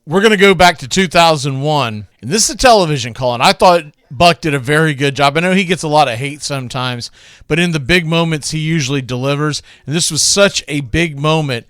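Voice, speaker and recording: Male sports radio host, slightly high clean voice, conversational and opinionated commentary on old calls. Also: fairly quick, fairly bright tone, no echo, clean studio sound. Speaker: host of a baseball radio show. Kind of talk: commentary introducing classic baseball calls.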